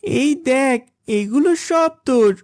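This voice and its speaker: A young cartoon boy with a high, earnest voice. The delivery is childlike and eager, as in an animated moral tale, and the sound is close and dry. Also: takes it slowly, no room sound. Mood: affectionate, eager. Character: young boys: spoiled eager son and gentle poor elder brother. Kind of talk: animated Islamic moral story voice acting.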